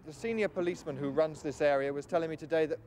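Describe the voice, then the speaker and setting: A man's voice: on the high side, racing, darkish tone. A man working as a television reporter, putting questions to people in a street interview. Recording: archive TV street recording, crowd noise.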